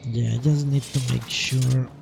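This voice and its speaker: Male livestream gamer, medium pitch, slow delivery with vocal fry; casual, self-mocking, resigned commentary over game music. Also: fairly even intonation, noisy background. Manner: self-deprecating, resigned, mildly profane. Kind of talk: casual live gameplay commentary.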